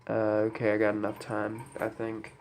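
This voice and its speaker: Young male vlogger, congested with a cold. Subdued, flat delivery, halting and serious, light background noise on a camera mic. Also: lowish voice, low-quality recording. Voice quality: stuffy, congested. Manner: somber, hesitant, apologetic. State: head cold. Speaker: YouTuber. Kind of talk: unscripted personal talk to camera. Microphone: camera microphone.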